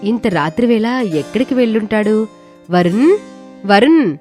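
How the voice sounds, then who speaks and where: A woman's voice: mid-range voice, expressive, unhurried, mellow, soft background music, close to an everyday voice. A woman voicing a naive young wife in a story, anxious and regretful, with a pleading, emotional delivery.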